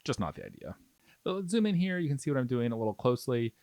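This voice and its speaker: A man's voice: mid-range voice, very animated, fast talker, darkish tone, no room sound. A man giving a casual hobby tutorial as an instructor, explaining things in an easygoing, reassuring way. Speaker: miniature painting instructor.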